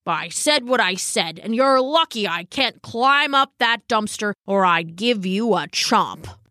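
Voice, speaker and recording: A woman voicing a grumpy, greedy old male dog who talks in a gruff, growling way. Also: medium pitch, expressive, unhurried, bright, forceful tone, dry recording, studio quality.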